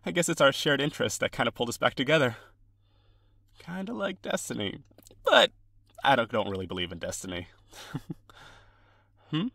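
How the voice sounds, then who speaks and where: A young man playing a friendly, sentimental old friend in an audio roleplay, casual and expressive with some hesitation. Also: mid-range voice, moderate pace, creaky, pauses often, no room sound, heavily acted. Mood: surprised, excited, then casual, reflective. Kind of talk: ASMR-style roleplay, one side of a conversation.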